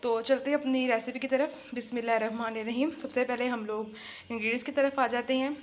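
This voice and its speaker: A woman's voice: on the high side, steady tone, fast talker. A woman hosting a casual home cooking tutorial, chatting as she works and saying a blessing before each step. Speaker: cooking channel host. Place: home kitchen.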